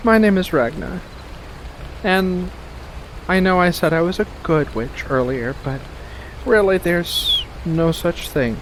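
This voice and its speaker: A man voicing a playful, coy female witch with faint menace in a soft-spoken ASMR roleplay, speaking in a coaxing, deliberate way with pauses. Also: high-pitched, a little hiss or hum, pitched above the performer's own voice, rough recording. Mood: playful, musing.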